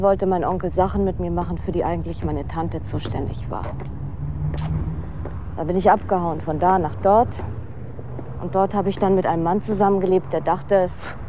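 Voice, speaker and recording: A woman acting in a German radio drama as a sardonic, world-weary young aspiring actress with a deadpan, cynical delivery. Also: lowish voice, natural voice. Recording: clear outdoor street and traffic noise. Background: city street ambience with vehicles.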